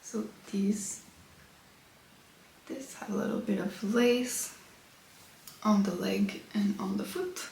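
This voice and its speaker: A woman's voice: mid-range voice, slow pace, vocal fry, long pauses. A woman with a slightly stuffy, congested voice talks in a loose, chatty way, showing off her knitting. Her voice rings around an echoey room. A faint hiss of background noise sits behind her. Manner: relaxed and chatty, with frequent fillers. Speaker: knitting podcast host. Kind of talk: casual chat showing knitting. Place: her apartment.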